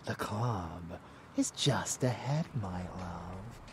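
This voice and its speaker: A man playing a rebellious, murderous vampire lover in an intimate ASMR roleplay, teasing and menacing, breathy and often dropping into a whisper. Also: low voice, animated, very slow, background noise, exaggerated voice.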